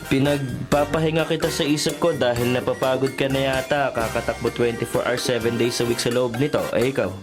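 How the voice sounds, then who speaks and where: A man's voice: mid-range voice, steady tone, fairly quick, few pauses, over music, close to an everyday voice. An actor playing a clingy, jealous, lovesick young man in a radio drama, with a Filipino accent. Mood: wistful, teasing. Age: young adult. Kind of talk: Tagalog radio drama acting.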